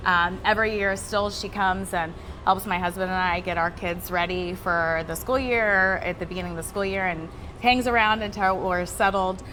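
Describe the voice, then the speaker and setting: A woman's voice: lowish voice, steady tone, moderate pace, pushed and bright, rough recording. A woman, a news anchor, talking in a chatty, informal way in a casual conversation. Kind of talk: casual chat. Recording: clear background noise.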